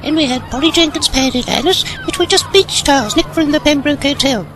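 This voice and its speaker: A man's voice: a little fast, noisy background. A man voicing a chatty middle-aged woman shop owner. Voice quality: falsetto.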